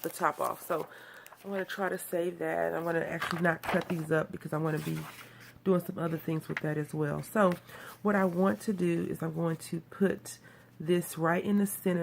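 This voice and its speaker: A woman with a cold talks through a card-making tutorial in a low, gravelly voice. She sounds a bit nasal and rambles in a chatty, self-deprecating way. Faint noise sits behind her. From Texas, USA. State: has a cold. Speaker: craft video host.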